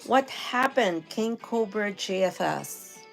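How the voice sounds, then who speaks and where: Filipina lady livestreaming, friendly and chatty, filipino accent, low music behind her. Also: expressive, slow, vocal fry. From Philippines. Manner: friendly, chatty, laughs often. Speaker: livestream host. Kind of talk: casual live stream chat, greeting viewers. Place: home in New York.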